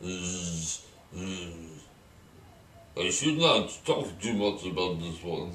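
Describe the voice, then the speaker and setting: A man answering interview questions in character in a halting, deadpan, cryptic manner. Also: low voice. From Southern California, as claimed in character. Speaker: performer being interviewed. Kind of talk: improvised in-character performance interview. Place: bare unfinished room indoors. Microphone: distant camera microphone. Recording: echoey, distant camera with background noise.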